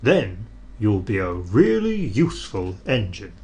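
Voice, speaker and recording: A portly railway director who speaks slowly and firmly but kindly, as a man reading a storybook voices him. He sounds fatherly and measured, in a deliberate, unhurried tone. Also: on the low side, wildly swooping pitch, darkish tone, pitched below the performer's own voice, heavily acted. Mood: stern. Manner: stern, measured, then kindly.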